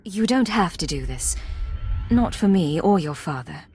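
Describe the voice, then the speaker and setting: An actress playing a composed, resolute imperial agent in a video game, husky and measured in delivery. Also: female voice, on the low side, lively intonation, darkish tone, faint music behind. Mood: gentle, resolute. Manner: measured, formal, controlled.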